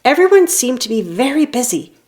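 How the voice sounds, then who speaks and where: A woman reading a children's story aloud in an expressive storytelling manner, leaning on key phrases. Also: sits high, brisk. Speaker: storybook reader. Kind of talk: children's read-aloud narration.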